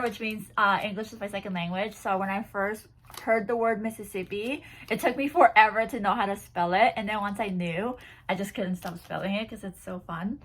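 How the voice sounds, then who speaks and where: A woman's voice: medium pitch, fairly bright tone, rough recording. A woman chatting casually to camera as she unboxes a gift box, bubbly and giggly, seated on a bouncy ball at home. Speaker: vlogger unboxing a swap box.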